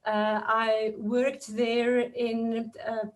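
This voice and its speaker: Woman, slightly high voice. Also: little pitch movement. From South Africa. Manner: thoughtful, measured, with fillers. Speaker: guest lecturer. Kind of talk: webinar discussion.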